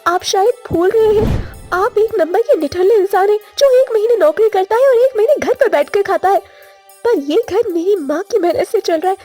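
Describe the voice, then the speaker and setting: A woman acts out a dutiful young village wife in a cartoon moral story, with an extremely high voice. She sounds earnest and plaintive. Music plays faintly underneath. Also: animated, moderate pace, warm tone. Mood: indignant. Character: long-suffering, dutiful young village wife, childless and tearful.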